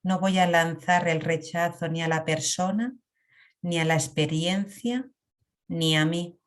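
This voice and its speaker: A woman leading a guided emotional meditation in a calm, reflective way. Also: lowish voice. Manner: slow, calm, reflective guidance with long pauses.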